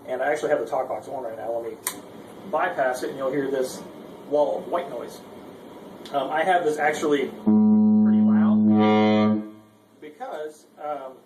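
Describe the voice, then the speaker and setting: A man giving a casual, informal instructional demo, speaking hesitantly and with some self-deprecation. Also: mid-range voice. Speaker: guitarist giving a gear demonstration. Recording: roomy, distant mic with background noise.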